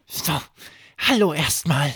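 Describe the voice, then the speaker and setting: A man's voice: fairly high pitch, lively intonation, slow, fairly bright tone, husky, no echo, studio quality, voice pushed up, full character voice. A man voicing a little plush rabbit come to life in a children's bedtime story, chatty and matter-of-fact with a casual grumble. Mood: casual, friendly.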